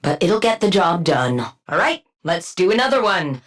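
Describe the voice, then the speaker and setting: A woman's voice: lowish voice, sing-song, normal speed. A woman playing a haughty, sardonic supervillain scientist in a video game, with a clipped, pedantic, condescending delivery. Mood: smug, dry. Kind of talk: scripted game character voice lines.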